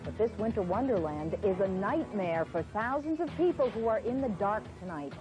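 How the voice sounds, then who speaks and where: A woman reads a dramatic news report in a mid-pitched voice. The delivery is scripted newscast style, with music clearly playing underneath. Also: animated, normal speed, rough recording.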